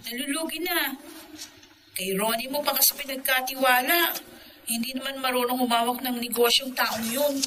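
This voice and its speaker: An exasperated Filipina younger sister. Her voice is high and sharp, scolding and probing, in radio-drama style with faint noise behind it. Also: steady tone, moderate pace, poor audio. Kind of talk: Filipino radio melodrama acting.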